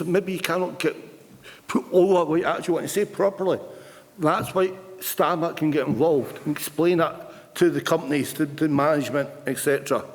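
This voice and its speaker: A man in his fifties, a retired union rep giving an earnest, plain-spoken conference speech with occasional stammered restarts in a large hall. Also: on the high side. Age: 50s. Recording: long hall reverb.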